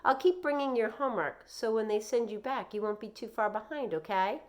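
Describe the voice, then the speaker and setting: A woman reading a children's novel aloud, voicing a kindly, firm schoolteacher with a reassuring, measured manner. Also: fairly high pitch, voice pushed up, natural voice.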